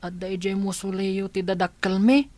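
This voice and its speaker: A woman playing a polite, helpful orphan child in an audio drama, respectful and gentle with elders. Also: medium pitch, little pitch movement, moderate pace, dry recording, close to an everyday voice. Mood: subdued. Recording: loud traffic and vehicle noise.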